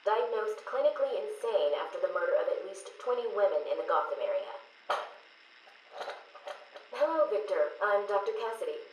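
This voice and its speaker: A woman's voice: mid-range voice, animated, lightly acted. Game role female actor: clinical psychiatrist, professional and composed under pressure, echoey taped interview with light noise. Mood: detached, professional. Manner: measured, professional, probing. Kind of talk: video game voice acting, clinical session tapes.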